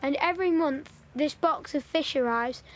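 A cheeky country child played by a woman in a wartime radio play. The voice is high, with a Northern English accent, and chats along in plain, colloquial speech. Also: normal speed, lightly acted. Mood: chatty. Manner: chatty, colloquial, matter-of-fact. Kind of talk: audio drama dialogue.

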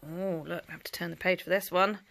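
A middle-aged woman reading a picture book aloud as a bedtime story, with dramatic delivery and hushed asides. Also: fairly low pitch, flat delivery, quick pace, creaky.

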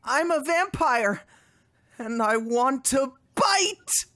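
Woman voicing a melodramatic high-pitched cartoon duck vampire. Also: expressive, fairly bright tone, exaggerated voice. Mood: anguished. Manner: exaggerated, wailing, drawn-out. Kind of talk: comic dub voice acting.

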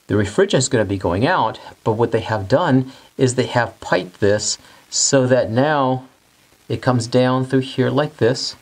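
A man's voice: medium pitch, darkish tone. A man in his forties, an HVAC instructor, explaining a technical topic step by step at a whiteboard in a studio and repeating the key points. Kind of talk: whiteboard teaching lesson.

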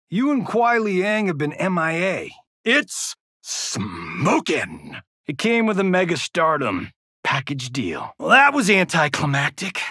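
A man's voice: on the high side, sharp tone, no room sound, studio quality, heavily acted. An actor playing a cocky, wisecracking male Hollywood action star in a fighting video game, with a smug, flippant, showboating delivery.

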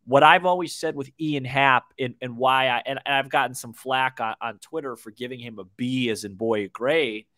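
A man in his twenties chats about baseball as a podcast co-host. He speaks casually and haltingly, often doubling back on words. The sound is dry and close on a desk mic, with a mid-range voice. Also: medium pitch, a bit bright, no room sound. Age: 20s. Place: small indoor room, video call. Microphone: desk microphone, close.

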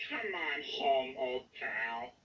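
A slightly muffled, distant recording of a woman acting an earnest male puppet friend in a storybook. He is caring and forgiving, and takes his words slowly. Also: very animated, a little hiss or hum, full character voice. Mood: soft, forgiving. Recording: distant, dull low-fidelity home recording.

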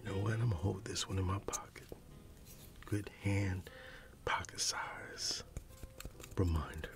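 A middle-aged man whispering softly in an ASMR roleplay, reminiscing with gentle chuckles. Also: deep voice, unhurried, gravelly, over music. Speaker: ASMR creator. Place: dim room at home.

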